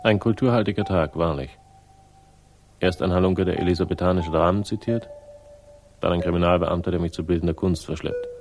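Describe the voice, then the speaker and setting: An actor playing a world-weary male sleuth in a German radio crime drama, speaking with dry, laconic irony and a gravelly voice. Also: low voice, brisk. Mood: wry narration. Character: sardonic, world-weary male crime sleuth telling his own story. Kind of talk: 1960s German radio crime drama, first-person narration and dialogue.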